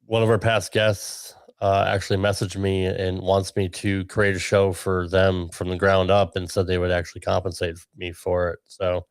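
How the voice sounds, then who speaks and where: A middle-aged man with a low, gravelly radio voice, recorded close and dry. He talks in a relaxed, rambling podcast manner, full of ums and self-corrections. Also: moderate pace, few pauses, dry recording. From Connecticut, USA. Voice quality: deep radio voice. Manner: relaxed, chatty, many ums and restarts. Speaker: podcast producer and guest. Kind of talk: casual podcast conversation.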